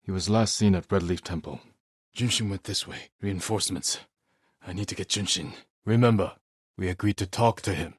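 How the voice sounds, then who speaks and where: An actor voicing a grave, determined samurai warrior in a video game, speaking in measured, solemn, terse lines. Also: male voice, low voice, breathy edge, no room sound, clean studio sound. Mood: determined, stern. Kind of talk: dramatic game character dialogue.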